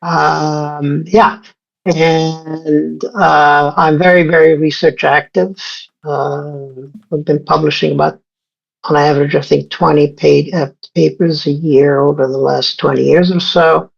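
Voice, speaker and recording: A man's voice: a little high, level tone, takes it slowly, vocal fry. A man in his sixties from Egypt, a scientist guest in an interview, talking casually with many fillers and restarts. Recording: dull lo-fi sound.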